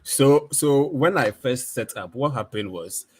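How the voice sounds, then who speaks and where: Deep-voiced male creator, easygoing, stop-start talk, USB desk mic. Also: slightly rough. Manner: relaxed, halting, many restarts. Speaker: photographer and video creator. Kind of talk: casual live video call chat. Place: home studio with ring light. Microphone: USB desk microphone on video call.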